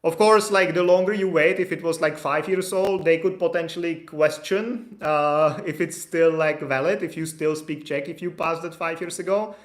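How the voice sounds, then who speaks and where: A man's voice: on the high side, moderate pace, few pauses. A man in his thirties hosting a live stream, reading out viewer questions and answering them in a loose, chatty way, with lots of fillers and restarts. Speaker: immigration consultant answering live chat questions. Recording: echoey room.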